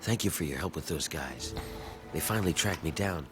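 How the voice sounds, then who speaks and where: A man's voice: very deep voice, animated, brisk. An actor playing a weary, desperate rebel in a video game, pleading earnestly and dramatically for his enslaved people. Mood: wary, grateful. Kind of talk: video game dialogue acting. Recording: game audio with background music.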